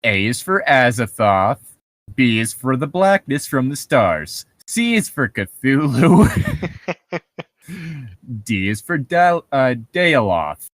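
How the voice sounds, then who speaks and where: A man's voice: mid-range voice, big pitch swings, takes it slowly, fairly bright tone, no echo. A man chatting casually as a podcast co-host, rambling and swearing freely as he riffs on horror stories. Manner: rambling, profane. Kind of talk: casual chat, riffing commentary on horror stories.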